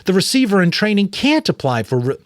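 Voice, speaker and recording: A man's voice: sits high, very animated, fairly quick, no room sound, studio quality, voice pushed up. A man voicing an earnest, anxious young boy pupil who asks hesitant questions, as in a storybook. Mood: shocked, protesting.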